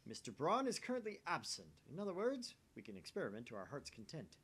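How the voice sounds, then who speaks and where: A man's voice: wildly swooping pitch, fast, a bit bright, slightly rough, heavily acted. A man voicing a grandiose, bossy young self-styled mad scientist with theatrical, pompous declarations for a visual novel. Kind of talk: visual novel character acting. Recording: faint rumbly background noise.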